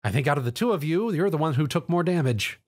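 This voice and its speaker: A man's voice: a little high, lively intonation, quick pace, a little mellow, voice pushed up. A man voicing an earnest young female pony in a story, eager and a little anxious. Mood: nervous, caring.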